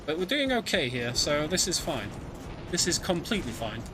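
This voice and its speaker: A man giving casual, conversational live commentary on a video game speedrun, often pausing with ums. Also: on the high side, very animated. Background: game music.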